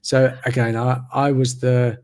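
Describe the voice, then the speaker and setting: A man, talking slowly and reflectively as a podcast host on a video call. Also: mid-range voice. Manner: slow, even, reflective delivery. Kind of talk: casual interview conversation. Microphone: video call mic.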